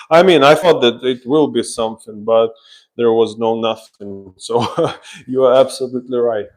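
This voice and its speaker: Man, Ukrainian accent, slow pace, mid-pitched, casual tradesman commentary, explaining as he works. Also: a little mellow, slight background noise, rough recording. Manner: explains while working, pausing as he handles tools. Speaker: drywall installer, live stream host. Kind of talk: casual live work commentary. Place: laundry room under renovation, indoors.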